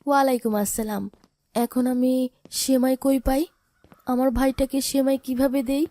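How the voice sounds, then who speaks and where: A woman as a mellow-voiced, sorrowful older sister. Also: high voice, fairly even intonation, moderate pace, no echo, lightly acted. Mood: sad, worried. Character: poor, sorrowful orphaned older sister, caring and resigned. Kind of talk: cartoon drama voice acting.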